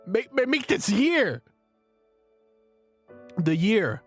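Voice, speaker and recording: A man's voice: high voice, very animated, very slow, husky, soft background music. A middle-aged man hosting a show, speaking straight to listeners in an earnest, preachy, emphatic motivational monologue. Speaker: show host and father.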